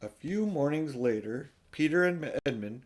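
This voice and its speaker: A man's voice: fairly high pitch, lively intonation, a little slow. Older man reading a storybook aloud. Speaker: audiobook reader. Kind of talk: storybook read-aloud with casual asides.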